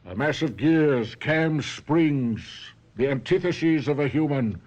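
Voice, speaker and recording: An actor playing a persuasive old professor and inventor, deliberate and grandiose, with a husky voice, in a 1970s radio mystery. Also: male voice, fairly high pitch, expressive, darkish tone, dull audio. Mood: coaxing, intense.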